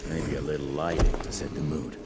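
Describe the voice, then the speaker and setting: A man playing a gruff, wry gunslinger in a video game, terse and drawling. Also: very deep voice, flat delivery, a little fast, heavy background noise. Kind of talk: video game character dialogue. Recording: reverberant hall, music behind.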